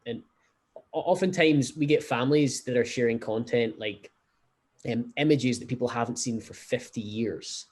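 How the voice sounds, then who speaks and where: A man with a Scottish Glasgow accent, a startup founder calmly explaining his software in a remote interview, with a few fillers. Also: medium pitch. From Scotland. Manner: measured, explanatory, frequent fillers. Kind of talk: conversational interview.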